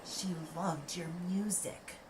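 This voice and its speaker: A man's voice: sits high, steady tone, voice pushed up. A man voicing Grief, a relentless, witch-like old woman with a blunt, insistent way of speaking, in an audio play. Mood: quiet, insistent. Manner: blunt, drawn-out, insistent delivery. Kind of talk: audio play character acting. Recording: echoey, with noise behind.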